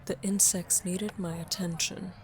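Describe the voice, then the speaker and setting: Casting: actress as terse insect enthusiast, unhurried and lowish, deadpan, audio drama with faint background noise. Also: female voice, natural voice.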